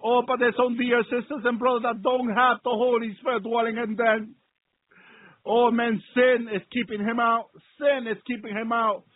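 High-voiced man preaching with fervor. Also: little pitch movement, normal speed, narrow, tinny audio. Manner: exclamatory and repetitive, punctuated with praise interjections. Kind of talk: fervent extemporaneous sermon with prayer.

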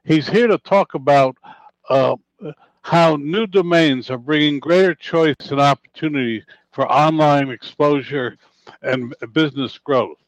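A male radio host interviewing a guest, talking with many fillers and halting restarts. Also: fairly high pitch, dry recording, low-quality recording.

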